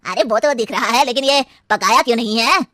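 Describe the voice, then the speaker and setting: A woman's voice: fairly high pitch, brisk, sharp tone, no echo, studio quality. A woman playing a proud, pushy older mother-in-law in a cartoon, insistent and self-righteous. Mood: baffled. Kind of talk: animated cartoon dialogue.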